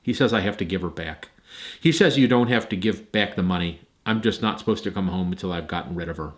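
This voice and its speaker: A man's voice: sits low, fast, natural voice. A man reading aloud, voicing a young boy who pleads and holds back tears in a storybook. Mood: pleading.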